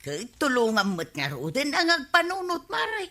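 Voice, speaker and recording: A high, pushy village busybody. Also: female voice, wildly swooping pitch, moderate pace, pushed and bright, full character voice. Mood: complaining. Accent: Ilocano. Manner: pushy, emphatic. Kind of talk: radio comedy drama.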